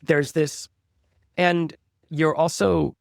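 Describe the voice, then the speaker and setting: A man's voice: a little high, level tone, extremely slow, vocal fry, no room sound, clean studio sound. A man in his forties hosting a podcast, chatting casually and making self-deprecating jokes. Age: 40s. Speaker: podcast host. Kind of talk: casual interview chat.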